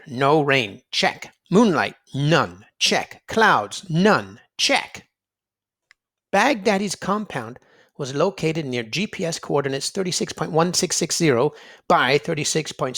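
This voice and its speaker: Animated, higher-pitched man reading to kids. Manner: emphatic, explanatory, addressing young listeners. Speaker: children's read-aloud narrator. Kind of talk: read-aloud storybook narration for children.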